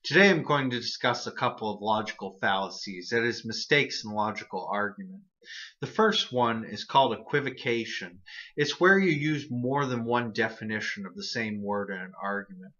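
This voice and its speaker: A man's voice: fairly low pitch, lively intonation, normal speed, pushed and bright, dull audio. A man hosting his own video series, explaining reasoning errors in a casual, earnest monologue with frequent restarts and repeated words. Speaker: host of an atheist video series.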